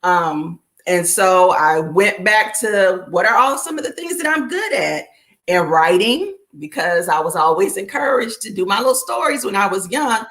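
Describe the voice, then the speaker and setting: Relaxed middle-aged woman author. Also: mid-range voice, moderate pace. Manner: relaxed, reflective, with many fillers. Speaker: children's book author, guest. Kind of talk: casual conversation.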